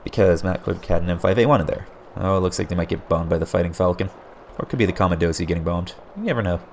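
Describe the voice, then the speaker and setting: A low, gravelly man with a hoarse edge calls a game replay play by play. He keeps jumping in with surprised exclamations. A faint hiss sits under his voice. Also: fast talker. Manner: excitable, frequent surprised exclamations. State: hoarse, voice getting raspy. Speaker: game caster.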